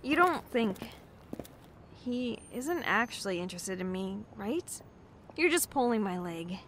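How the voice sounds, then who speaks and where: A woman's voice: medium pitch, big pitch swings, a little slow. Actress as hesitant young woman over background noise. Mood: playful, unsure. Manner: hesitant, self-deprecating, casual. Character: anxious, apologetic young bookshop clerk, woman. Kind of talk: naturalistic audio drama acting.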